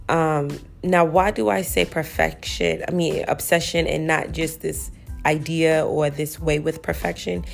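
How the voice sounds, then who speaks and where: A woman in her thirties hosting a solo podcast, chatty and self-reflective, her voice a little raspy this early in the morning. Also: low voice. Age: 30s. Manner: chatty and self-reflective, full of "you know" and "like". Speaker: podcast host. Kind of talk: casual solo podcast talk.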